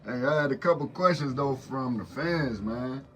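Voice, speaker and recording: A man's voice: mid-range voice, normal speed, recorded from a distance, highs cut off, a little hiss or hum. A man hosting a casual podcast interview, hyped and slangy, with frequent affirmations. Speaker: podcast host.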